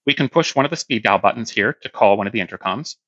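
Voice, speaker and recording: A man's voice: fairly low pitch, expressive, quick pace, fairly bright tone, no echo, muffled recording, soft background music. A man giving a hands-on product demonstration, explaining plainly step by step.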